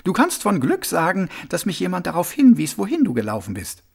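A man voicing a cool, sharp-tongued young streetwise woman in an audiobook, with a dry, mocking, brisk delivery. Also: high-pitched, huge pitch swings, creaky, husky, pitched above the performer's own voice, heavily acted. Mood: probing.

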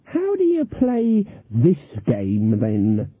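A man's voice: medium pitch, wildly swooping pitch, very slow, breathy edge, no room sound, exaggerated voice. A man voicing an old woman witch who plays at sweet innocence, in a fluttery, dithering way. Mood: innocent. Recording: thin phone-like sound.

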